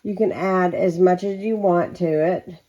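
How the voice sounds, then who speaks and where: A woman, chatting casually to viewers while cooking at home, her voice slightly hoarse and her talk rambling with many ums. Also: lowish voice, fairly even intonation, measured pace, warm tone, lo-fi sound. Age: 40s. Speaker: home vlogger. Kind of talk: casual catch-up and cooking chat.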